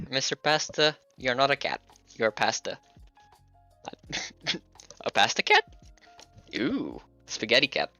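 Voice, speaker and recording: A man's voice: medium pitch, big pitch swings, slow, pauses often, sharp tone, no echo, highs cut off, soft background music. A man from Hungary, a native Hungarian speaker, chatting casually on a livestream while he works, thinking aloud and laughing often. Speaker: streamer and game developer.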